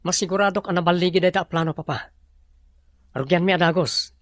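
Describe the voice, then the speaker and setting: An actor plays an ambitious young businessman pitching to his father in an audio drama. His voice is on the high side and recorded dry. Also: fairly even intonation, fast, no echo, close to an everyday voice. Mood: eager. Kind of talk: Ilocano audio drama acting.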